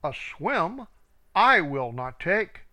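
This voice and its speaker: A man's voice: on the high side, very animated, drawn-out words, bright, forceful tone, full character voice. A man voicing a cheeky, defiant young male duckling in a rhyming story, talking back flippantly.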